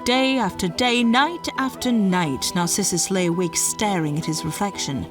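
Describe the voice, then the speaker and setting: Mid-pitched woman narrating a myth. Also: music in the background. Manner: measured, dramatic storytelling delivery. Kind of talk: scripted storytelling narration.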